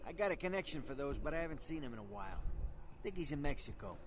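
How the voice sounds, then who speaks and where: Video game underworld fixer, higher-pitched male voice, cocky, tough slang, noisy background. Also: very animated, fast talker. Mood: businesslike. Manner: slangy, tough-guy talk.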